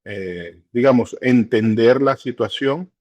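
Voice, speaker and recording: A middle-aged man talks slowly as a guest, with many pauses and fillers. His voice sits at an ordinary pitch. It is recorded close and dry, with no room sound. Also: a little mellow, no echo. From Venezuela. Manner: unhurried, many hesitations and fillers. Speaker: church regional director, guest. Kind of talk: relaxed interview conversation.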